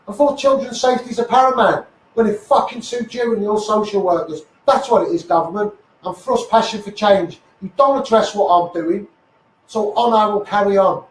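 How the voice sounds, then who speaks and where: A man with a Scouse accent delivers an impassioned, blunt, sweary live rant as a campaigner, repeating phrases for emphasis. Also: high-pitched. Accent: Scouse (Liverpool). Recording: echoey, noisy livestream, distant mic.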